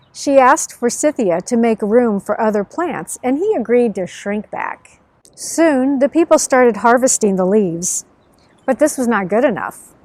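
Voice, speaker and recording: A woman's voice: fairly high pitch, a little mellow, dry recording. A middle-aged woman narrating as a storyteller, reading aloud to children outdoors. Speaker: host, storyteller and author. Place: outdoors under a tree by a field.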